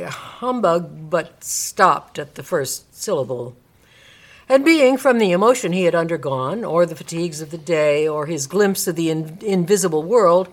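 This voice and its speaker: A woman narrator reading a classic story aloud as dramatic storybook narration for a radio play. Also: on the low side.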